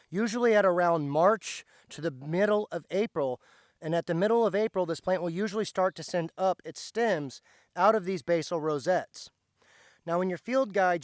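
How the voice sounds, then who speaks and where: A slightly hoarse man, patiently explaining step by step as he teaches plant identification outdoors. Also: high voice, no echo, studio quality. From central Indiana, USA. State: slightly hoarse, recovering from lost voice. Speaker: host, foraging teacher. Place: outdoors by a driveway.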